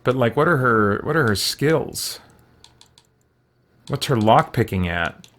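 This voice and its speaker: A man's voice: medium pitch, lively intonation, takes it slowly, a bit bright. A man with a mid-range voice hosts a gaming video in a casual way. He thinks aloud as he plays, muttering to himself and hesitating between choices. Mild oaths slip out as exclamations. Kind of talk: casual let's-play commentary, thinking aloud.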